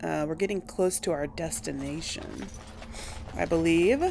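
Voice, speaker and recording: A woman gives casual game commentary at an unhurried pace, musing to herself as she goes. Her voice has a frequent creaky edge, and there is some noise behind her. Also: low-pitched. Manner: thinking aloud, musing to herself. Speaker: host of a game playthrough series.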